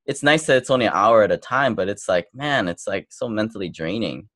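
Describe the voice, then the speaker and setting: A man's voice: lowish voice, expressive, brisk, fairly bright tone, no room sound, studio quality. A man in his thirties hosting a podcast in casual chat, laid-back and full of fillers, a bit tipsy. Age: 30s. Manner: laid-back, uses lots of fillers like "you know". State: tipsy from drinking beer. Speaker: podcast host.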